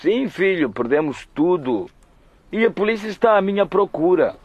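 A man's voice: high-pitched, big pitch swings, moderate pace, slightly rough, no echo, dull audio, exaggerated voice. An actor playing a worried middle-aged father who is hiding from the police, talking to his son in a radio drama.

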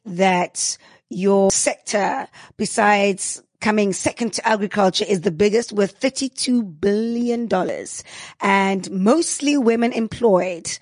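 A woman's voice: mid-range voice, slow pace, darkish tone, dry recording. A woman hosting an interview, upbeat and encouraging, full of praise for her guest. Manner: effusive, encouraging. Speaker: interview host.

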